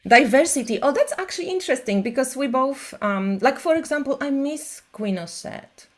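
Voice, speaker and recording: A woman who reads tarot, chatting on a live stream in an animated way with many fillers. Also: high voice, normal speed. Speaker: tarot reader and channel host. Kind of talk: casual conversation on a live stream.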